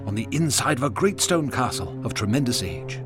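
A man narrating a horror radio drama in measured, storytelling tones that drop to a hush at eerie moments. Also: mid-range voice, big pitch swings, music in the background.

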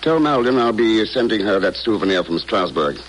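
An actor playing a suave, kindly, unflappable secret agent, calm and wry, in 1950s radio drama. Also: male voice, lowish voice, a little fast, over loud music, natural voice. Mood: measured, serious. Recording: noisy old 1950 radio broadcast.

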